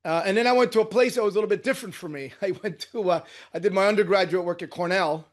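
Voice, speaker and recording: A man in his sixties, born in Cuba and raised in New Jersey, telling his life story as a guest surgeon in a casual interview, lively and often trailing off into quiet asides. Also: sits high, quick pace. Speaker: guest, orthopedic hand surgeon.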